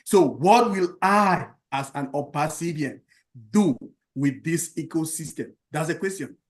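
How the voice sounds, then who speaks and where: A man's voice: on the high side, expressive. Earnest man from Cameroon. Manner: earnest, repetitive, halting with fillers. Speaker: round table speaker. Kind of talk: impassioned testimonial speech.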